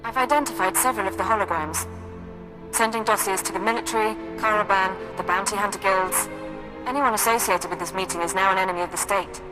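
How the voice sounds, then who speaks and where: An actress playing an efficient, resolute intelligence operative in a sci-fi game, delivering crisp briefings. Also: female voice, medium pitch, fast, music in the background, lightly acted, poor audio. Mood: businesslike. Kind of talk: scripted sci-fi game acting.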